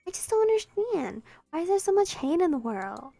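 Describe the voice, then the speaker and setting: A woman's voice: very high-pitched, big pitch swings, brisk, creaky, mellow, no echo, faint music behind, voice pushed up, exaggerated voice. A woman voicing a cocky teenage girl prankster who teases with mock sincerity. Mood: mock-hurt. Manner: smug, goofy, mock-sincere.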